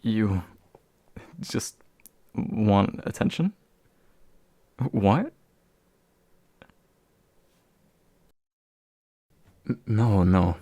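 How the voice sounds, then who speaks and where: A young man acting as a courteous, gentle demon of envy in a soft-spoken ASMR roleplay, formal and soothing with occasional hesitation. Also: on the low side, unhurried, gravelly, dry recording. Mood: surprised, accepting. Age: young adult. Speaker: voice actor.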